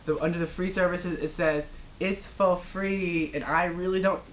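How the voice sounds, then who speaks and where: Low-voiced young woman, casual and self-deprecating. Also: steady tone, moderate pace, a little hiss or hum. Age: young adult. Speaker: university student ambassador. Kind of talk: casual interview reminiscing.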